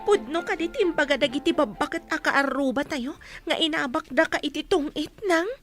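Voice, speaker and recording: A woman's voice: high voice, moderate pace, music in the background, natural voice. A woman with an Ilocano accent playing a reproachful, exasperated working elder daughter in a comedy radio drama.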